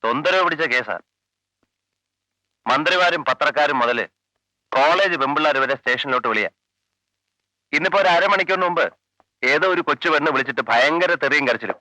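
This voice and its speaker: A brisk, sharp police officer. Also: male voice, mid-range voice, no echo, poor audio. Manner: curt, probing questioning. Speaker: police investigator. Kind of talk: 1980s film drama.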